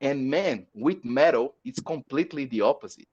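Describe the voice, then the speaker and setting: Brazilian guy w accent talking metal on a podcast, stops n repeats words, mid-pitched voice, dull lo-fi sound. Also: lively intonation, darkish tone, slightly rough. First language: Portuguese. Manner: halting, repeats words while searching for them. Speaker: metal band musician. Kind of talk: casual podcast chat. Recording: dull lo-fi audio.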